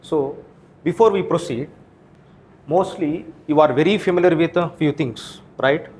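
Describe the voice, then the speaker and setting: A man's voice: fairly high pitch, measured pace, a little mellow. A man with an Indian accent lecturing to exam students as a coaching teacher, posing questions and answering them himself. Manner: frequent tag questions like "right?" and "okay?".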